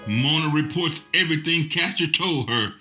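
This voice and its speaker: A man giving calm scripted narration that summarizes the story. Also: on the high side, normal speed. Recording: dull lo-fi sound over music. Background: clear music underneath.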